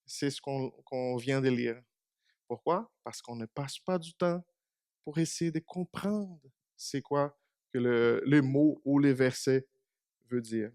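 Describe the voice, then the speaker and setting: A man's voice: mid-range voice, slow pace, a little mellow, dry recording, studio quality. A man whose first language is Portuguese, giving a short church meditation in a small congregation and asking them questions. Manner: conversational, asks the congregation questions. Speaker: preacher.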